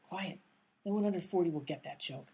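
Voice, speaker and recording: A woman's voice: lowish voice, brisk, dark tone, husky. A woman playing an earnest, literal-minded amateur detective in a radio comedy, insistent and pedantic.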